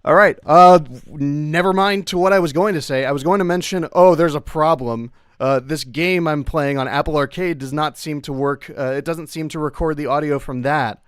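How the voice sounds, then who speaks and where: A man's voice: a little high, moderate pace, hardly any pauses, clear, resonant tone, no room sound, clean studio sound. A young man chatting casually as he hosts a livestream, talking in a rambling, hesitant way and breaking off his own sentences. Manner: rambling, hesitant, self-interrupting. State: occasional coughing from long covid. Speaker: livestream host.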